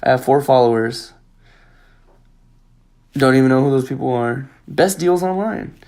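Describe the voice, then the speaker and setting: A man's voice: mid-range voice, unhurried, darkish tone. A young man co-hosting a casual podcast, rambling and telling stories loosely. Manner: rambling storyteller, acts out quotes. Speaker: podcast co-host. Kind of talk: casual chat.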